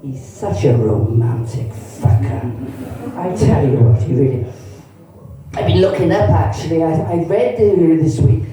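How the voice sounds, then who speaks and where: A woman's voice: sits low, measured pace, warm tone. A woman in her sixties from Cardiff with a Cardiff Welsh accent, performing a bawdy, chatty stand-up set and teasing the crowd. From Cardiff, Wales. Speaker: stand-up comedian. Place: comedy club stage. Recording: echoey venue, mic heard from a distance.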